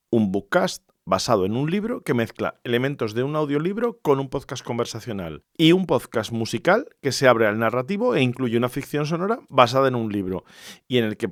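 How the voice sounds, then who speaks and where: A man's voice: mid-range voice, no echo, clean studio sound. A man from hosting a podcast alone in an informal, conversational, explanatory way. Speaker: podcast host. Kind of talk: conversational solo podcast monologue.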